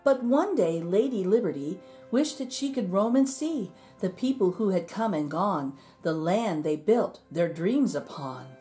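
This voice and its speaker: A woman reading a rhyming children's picture book aloud in an expressive, measured storytelling manner. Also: medium pitch, faint music behind. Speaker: storybook reader.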